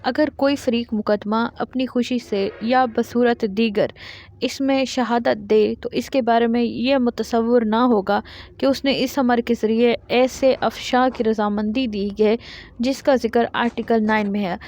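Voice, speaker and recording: A woman teaching law, reading each statute out slowly, word by word, and then explaining it in plain terms. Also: a little high, steady tone, darkish tone. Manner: reads English legal text slowly word by word, then explains in Urdu. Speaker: law lecturer.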